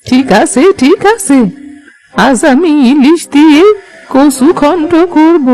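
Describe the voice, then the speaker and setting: A woman's voice: high-pitched, slow pace, darkish tone, poor audio. A woman playing a doting old village grandmother in a cartoon, fond and a little bossy with her family, with music underneath. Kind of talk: Bengali cartoon dialogue.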